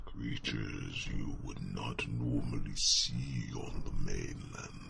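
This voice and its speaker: A man voicing an ancient, wise, fondly teasing dragon in an ASMR fantasy roleplay, with a gravelly, breathy rumble and formal, drawn-out phrasing full of pensive hums. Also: full character voice. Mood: musing, gently teasing. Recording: clear background noise, ambient sound.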